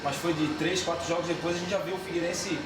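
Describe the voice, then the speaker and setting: Fast-talking radio sports host. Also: male voice, fairly high pitch, little pitch movement, distant mic. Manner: brisk, chatty, opinionated. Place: radio studio. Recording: echoey, off-mic, loud background hum.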